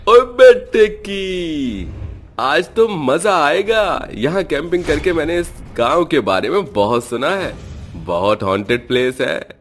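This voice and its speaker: Man as bold young camper, bossy, slangy, higher pitch, horror tale, faint music. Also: very animated, takes it slowly. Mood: excited.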